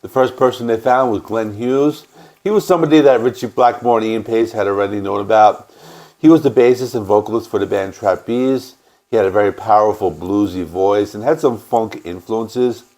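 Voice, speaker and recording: A man with a stuffy cold, hosting a music channel and calmly explaining an album's history in a casual, matter-of-fact way. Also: lowish voice, normal speed. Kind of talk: casual record review and band history.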